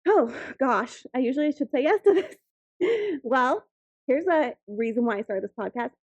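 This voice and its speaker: Brief: thirties woman co-host, chatty and giggly, bright high voice, dull dry recording. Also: animated, normal speed, no room sound. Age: 30s. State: new mother of a ten-week-old. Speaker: podcast co-host. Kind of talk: casual chat.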